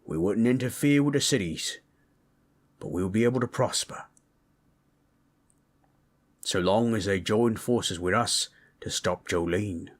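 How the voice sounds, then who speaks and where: Man voicing a deep, husky, slow-talking orc. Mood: earnest, resolute. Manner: slow, folksy, rough-hewn storytelling. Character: gentle, proud male orc warrior storyteller, affectionate, teasing. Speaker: audio roleplay performer. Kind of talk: intimate comfort roleplay storytelling.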